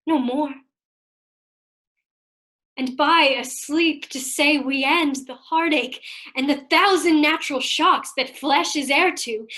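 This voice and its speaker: A woman's voice: high-pitched, sharp tone, exaggerated voice. A brooding woman reciting verse. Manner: brooding, measured. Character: woman performing a tragic stage soliloquy.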